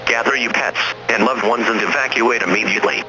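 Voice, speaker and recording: A man delivers an official emergency alert in a measured, impersonal way. His voice sits at a medium pitch, and music plays clearly behind him. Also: rough recording. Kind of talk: scripted emergency broadcast announcement.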